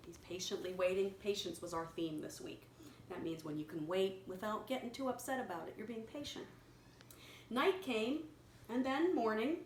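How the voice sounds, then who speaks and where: A woman reading a picture story aloud to a young child, pausing to explain words simply and prompt repetition. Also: on the high side. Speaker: children's story reader and teacher. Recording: echoey room with clear background noise.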